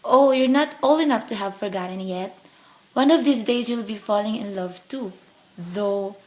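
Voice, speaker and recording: A woman's voice: medium pitch, normal speed, close to an everyday voice, rough recording. An actress playing an eager, naive, headstrong young woman in love, in a radio play. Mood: indignant, let down.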